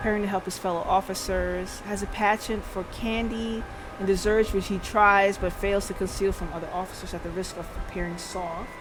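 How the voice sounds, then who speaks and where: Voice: mid-range voice, noisy background. A streamer reading a visual novel aloud and breaking off into playful, chatty asides to chat. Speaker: livestreamer. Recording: background music behind the voice. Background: music.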